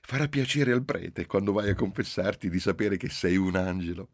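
A man's voice: lowish voice, sing-song, normal speed, gravelly, slightly rough, voice pushed up, exaggerated voice. A man reading a novel aloud, voicing a playful, teasing young woman.

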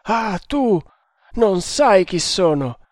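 A man's voice: fairly high pitch, takes it slowly, no echo, studio quality. A man voicing a delirious wounded hunter, frantic and menacing, in a theatrical raving manner for an adventure audiobook. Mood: terrified fury. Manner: raving, theatrical, swinging into shouts. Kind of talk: dramatic adventure audiobook reading.

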